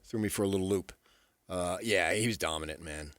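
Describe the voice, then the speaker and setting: An American man co-hosting sports radio in a low, punchy voice. He talks informally and bluntly, trading casual commentary close to the mic. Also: fairly quick, fairly bright tone, no echo. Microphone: close studio microphone.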